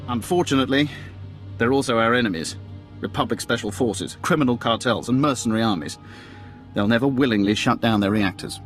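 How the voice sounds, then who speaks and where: An actor playing a proud, businesslike imperial general briefing someone in a video game, in a formal, measured manner. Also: male voice, medium pitch, soft background music, lightly acted. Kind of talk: video game cutscene acting.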